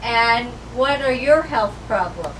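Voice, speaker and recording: A woman's voice: a little high, animated, takes it slowly, fairly bright tone, recorded from a distance, a little hiss or hum, rough recording. A woman interviewer asking brief, prompting questions.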